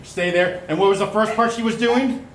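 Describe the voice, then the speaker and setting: A man's voice: high-pitched, fairly even intonation, normal speed, lightly acted. A man improvising a detective who questions a suspect with teasing, chuckling hints on a live stage. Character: male detective interrogating a suspect. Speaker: improv performer. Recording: echoey stage, loud audience laughter and noise.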